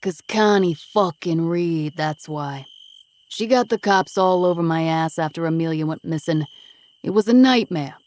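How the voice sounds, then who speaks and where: A woman voicing a gruff, defensive East Coast man in his thirties who swears bluntly, in an audiobook. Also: fairly low pitch, measured pace, darkish tone, close to an everyday voice.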